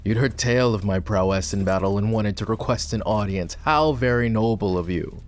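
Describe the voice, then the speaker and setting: Proud, bitter war-hero knight for fantasy roleplay ASMR, male actor; lowish pitch, sardonic delivery, soft music underneath. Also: normal speed, lightly acted. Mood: sardonic, impatient. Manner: scornful, dramatic, sardonic.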